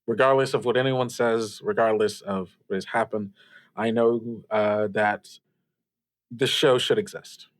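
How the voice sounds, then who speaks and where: A man playing a halting, sincere radio host. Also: lowish voice, lightly acted. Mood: grateful, sincere. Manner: halting, hesitant, earnest. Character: sincere, sentimental radio show host addressing faithful listeners.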